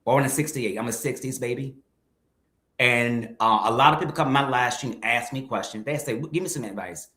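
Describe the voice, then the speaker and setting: A man's voice: mid-range voice, brisk, bright, forceful tone. A man in his fifties, a guest in a conversational interview, reflecting candidly and speaking directly to viewers. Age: 50s. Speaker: guest, mukbang creator. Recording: roomy echo.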